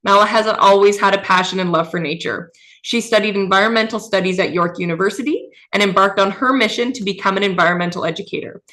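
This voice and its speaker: A woman hosts a live online event for teenagers. She talks in an upbeat, chatty way and introduces guests. Her voice sits in a middle pitch. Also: bright, forceful tone. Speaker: host.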